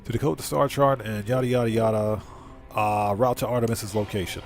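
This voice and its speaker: A man's voice: fairly low pitch, steady tone, fairly quick. Man casually streaming a game, music behind. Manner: informal, chatty, thinking aloud. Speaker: live streamer and channel host. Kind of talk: casual livestream game commentary, reading chat and on-screen text aloud.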